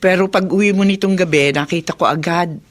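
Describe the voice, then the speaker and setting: Low-voiced, motherly Filipino actress as a widowed mother. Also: a little fast, close to an everyday voice. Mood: knowing, teasing. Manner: chatty, motherly, knowing. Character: warm, teasing widowed mother, market clothes vendor. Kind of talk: Filipino radio drama acting.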